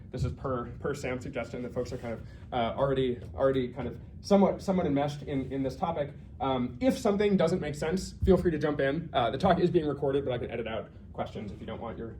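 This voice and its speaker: Fast-talking man giving an informal technical talk. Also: fairly high pitch, darkish tone. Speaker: guest speaker, researcher. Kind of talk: informal technical lecture to colleagues. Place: talk to a small in-house audience. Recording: faint background noise.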